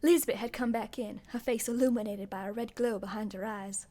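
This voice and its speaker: Woman as high-voiced dramatic mystery narrator. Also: fairly even intonation, brisk, warm tone, natural voice. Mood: dramatic suspense. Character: woman journalist recounting her murder mystery, dramatic. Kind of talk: scripted first-person narration in radio play.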